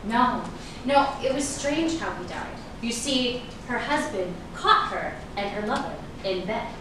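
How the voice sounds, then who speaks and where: A woman's voice: on the high side, lively intonation, a little slow. An actress playing a guarded, ambitious woman fending off an old flame in a staged reading of a drama, with dramatic, emphatic delivery. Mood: intent, storytelling. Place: echoey indoor room at a staged reading. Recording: echoey room, distant mic, noisy.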